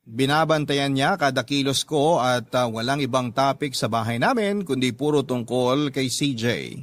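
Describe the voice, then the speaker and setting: A man reading first-person scripted radio drama narration, earnest and confiding, with hesitant pauses. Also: medium pitch, no echo, close to an everyday voice.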